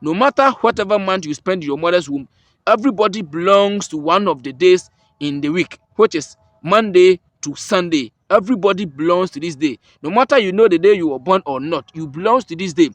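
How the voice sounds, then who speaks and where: A man's voice: sits high, expressive, fairly quick, dry recording. A man with a Ghanaian accent, hosting an herbal remedy channel, explains a ritual step by step and repeats every point with emphasis. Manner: repetitive, emphatic, restates each step. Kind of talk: step-by-step spoken instructions.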